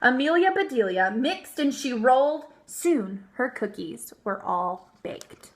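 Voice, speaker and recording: A woman giving an expressive, emphatic read-aloud of a children's storybook. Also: sits high, slow. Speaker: storybook reader.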